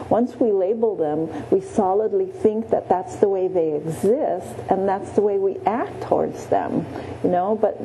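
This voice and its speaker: Woman meditation teacher, slow and gentle, dark mellow tone, faint background hiss. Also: on the low side, low-quality recording. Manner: gentle, many pauses and restarts, frequent "yeah". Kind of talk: guided Buddhist meditation teaching. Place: meditation room.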